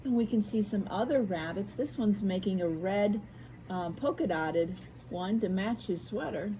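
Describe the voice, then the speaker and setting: An American woman reading a picture book aloud to children in an expressive way, adding explanatory asides. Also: medium pitch, slight background noise, rough recording. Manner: animated, unhurried, addressing children. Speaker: school media specialist reading aloud.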